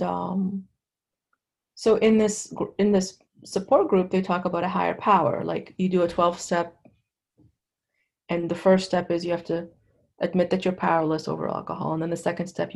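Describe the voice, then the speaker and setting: A middle-aged woman from Lebanon with a fairly low voice and a gravelly fry. She tells her personal story candidly and conversationally, halting now and then as she goes. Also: little pitch movement, a little mellow. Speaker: interview guest. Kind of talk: personal testimony in casual conversation.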